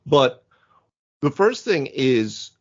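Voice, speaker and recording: A man with a medium-pitched voice chats as a podcast co-host about tabletop gaming. He is emphatic and rambling, fond of exaggeration, and the audio is a little muffled. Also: very animated, extremely slow. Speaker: podcast co-host, game master. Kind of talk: casual tabletop gaming discussion. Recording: dull lo-fi audio.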